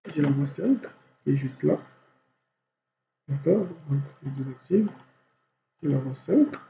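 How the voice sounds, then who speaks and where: A man with a cold teaching design software step by step, thinking aloud and filling gaps with constant voilàs. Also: a little high, lively intonation, slow pace, vocal fry. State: has a cold. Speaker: software instructor. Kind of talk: screen-recorded software tutorial. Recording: dull lo-fi recording with faint hum.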